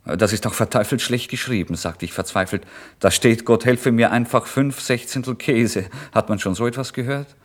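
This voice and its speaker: An actor playing a starving, desperate young writer in a theatrical, volatile radio play monologue. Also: male voice, medium pitch, normal speed, hardly any pauses, close to an everyday voice. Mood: agitated, insistent. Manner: theatrical, volatile, swinging from hushed to loud outbursts. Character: starving, desperate young male writer, feverish and self-deceiving. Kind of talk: dramatic literary monologue, radio play narration.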